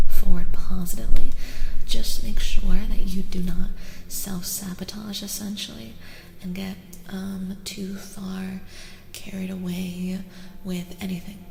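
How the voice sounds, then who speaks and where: A woman giving a soft-spoken ASMR tarot reading as a stream host, breathy and often whispery, gently repeating phrases in a soothing way. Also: mid-range voice. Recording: long echoing reverb.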